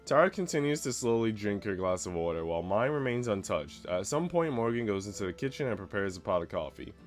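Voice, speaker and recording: Male game streamer, lowish voice, informal and slangy, music playing behind him. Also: sing-song, fairly quick. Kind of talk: casual chat mixed with reading visual novel narration aloud.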